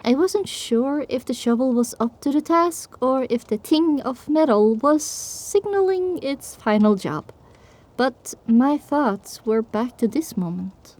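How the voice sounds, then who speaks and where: Dark, mellow female story narrator. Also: high voice, lively intonation, slow pace. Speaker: audiobook narrator. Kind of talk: scripted first-person story narration.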